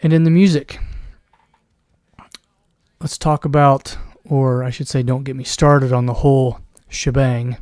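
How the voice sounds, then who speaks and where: Hoarse man rambling on a podcast. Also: mid-range voice, fairly even intonation, unhurried, darkish tone, no echo, studio quality. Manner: rambling, with restarts and throat clearing; mocking put-on voices in quoted asides. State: losing his voice. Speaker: podcast host. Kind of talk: solo commentary podcast.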